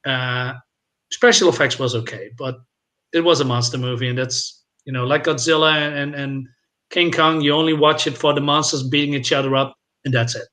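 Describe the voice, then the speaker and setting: A man's voice: medium pitch, fairly even intonation, normal speed, bright, forceful tone. A man co-hosting a casual movie discussion, giving his opinions freely. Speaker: film discussion show co-host.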